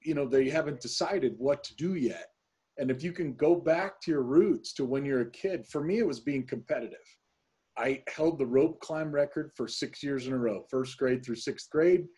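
A middle-aged man hosting a conversational business interview, with a husky, slightly dark voice. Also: fairly high pitch. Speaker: podcast host, interviewer.

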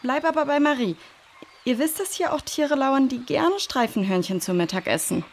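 A woman acting a composed, caring female search leader in a children's audio drama, reasoning and gently giving directions. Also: high-pitched, lively intonation, moderate pace. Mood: assured, caring.

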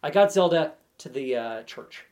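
A man's voice: fairly high pitch, expressive, normal speed, a little mellow. A man chatting casually as a podcast guest, with a wry, joking way of talking. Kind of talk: casual chat about video games.

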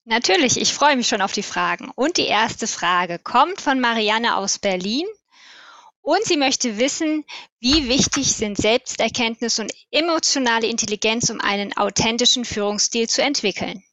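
Eine Frau mit eher hoher Stimme liest freundlich die Fragen des Publikums vor. Ihre Aufnahme klingt etwas dumpf und leicht entfernt. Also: female voice, fairly high pitch, expressive, moderate pace, a bit bright, no echo, highs cut off. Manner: reads out questions in a friendly tone. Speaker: question master.

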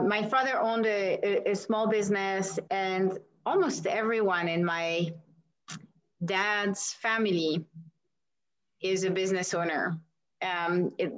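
A woman's voice: medium pitch, rough recording. A woman from France with a French accent, chatting as an interview guest and laughing often as she answers. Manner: warm and chatty, laughs often, many restarts.

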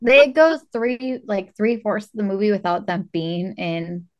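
A woman's voice: medium pitch, lively intonation, unhurried. A woman co-hosting a podcast, talking casually about a film in a conversational way. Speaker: podcast co-host. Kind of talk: casual movie discussion.

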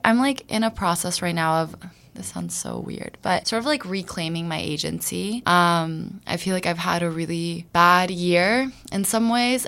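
Low gravelly woman, tentative. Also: a bit bright. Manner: tentative, hedging, many fillers. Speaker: podcast team member. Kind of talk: casual chat.

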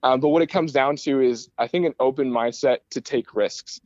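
A man's voice: medium pitch, normal speed, a little mellow, no room sound, lo-fi sound. An American man speaking conversationally as an interview guest, explaining things in an enthusiastic, rambling way. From United States, Michigan. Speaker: guest, aerospace start-up founder.

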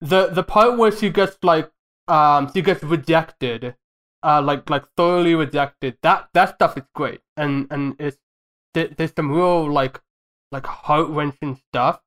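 A man with a notably high voice co-hosts a movie podcast. He chats informally with his fellow hosts over a voice call. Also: a little slow, fairly bright tone. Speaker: podcast co-host. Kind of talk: casual film podcast chat. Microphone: voice call recording.

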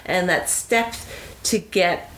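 A woman's voice: fairly low pitch, slow pace, a bit bright, poor audio. A woman hosting a casual interview, asking chatty and enthusiastic questions. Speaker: interview host.

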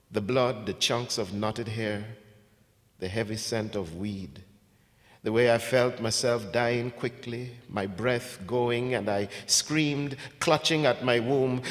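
A poet from Ghana, a man, reading his poems in a measured, deliberate way, with hesitant spoken asides between them. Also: medium pitch. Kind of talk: poetry reading with spoken introductions. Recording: long hall reverb.

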